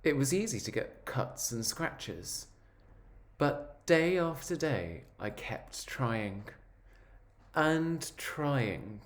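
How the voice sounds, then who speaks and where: A man voicing an earnest, wistful egg who tells his own story in a measured, reflective picture-book narration. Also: mid-range voice. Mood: hopeful.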